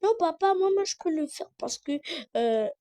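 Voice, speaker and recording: A woman's voice: very high-pitched, lively intonation, normal speed, dark tone, pitched above the performer's own voice. A woman voicing a young, demanding daughter who insists and repeats herself in a family skit. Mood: pleading.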